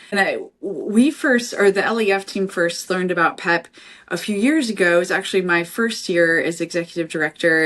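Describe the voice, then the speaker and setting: A woman hosting a podcast interview, enthusiastic and quick with affirming interjections. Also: lowish voice, a bit bright. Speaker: podcast host, nonprofit director.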